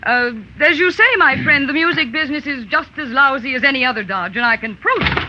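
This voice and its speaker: An actress playing a tough, bitter, wisecracking nightclub singer with a brassy, scornful manner, in 1940s radio style. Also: female voice, high voice, fairly quick, background noise, close to an everyday voice. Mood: bitter, defiant. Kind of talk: 1940s radio drama acting. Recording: muffled vintage radio recording.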